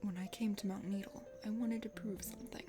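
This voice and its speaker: A woman voicing an earnest, tender young prince from a dating game, soft, breathy and sincere. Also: mid-range voice, steady tone, brisk, over music, voice pushed down, lightly acted. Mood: earnest, confiding.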